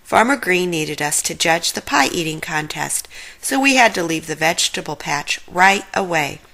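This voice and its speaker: Casting: woman playing sensible young female cartoon sleuth, patient explainer, bright and animated. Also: on the low side, normal speed, creaky, full character voice. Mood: explaining.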